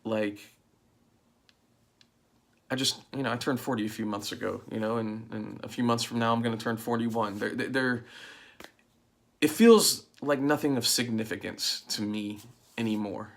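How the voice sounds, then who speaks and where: A man in his forties talking in a casual, reflective monologue to his channel, halting often with fillers and restarts. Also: medium pitch, fairly even intonation. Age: 40s. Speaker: vlogger.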